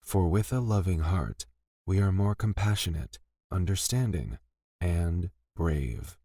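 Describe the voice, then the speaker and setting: Male meditation guide, low-pitched, gentle and unhurried delivery of scripted lines, very dry close sound. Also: dry recording. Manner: gentle, soothing, deliberate with pauses between phrases.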